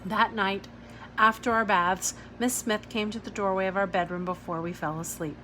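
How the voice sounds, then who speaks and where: A woman's voice: mid-range voice, steady tone, normal speed, bright, forceful tone, poor audio. A woman reading a children's novel aloud as scripted narration. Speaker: audiobook-style reader. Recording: clear background noise.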